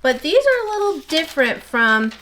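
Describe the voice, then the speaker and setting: A woman's voice: high-pitched, sing-song, fairly bright tone, a little hiss or hum. Chatty, slightly nasal woman soap maker. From United States. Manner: rambling, talks fast without pausing for breath. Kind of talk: casual chat while working. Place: home soap workshop.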